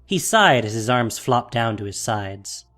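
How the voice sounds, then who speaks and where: Guy narrator for a fantasy audio drama, mid pitched, dramatic scripted storytelling. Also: big pitch swings, measured pace, bright, forceful tone, faint music behind.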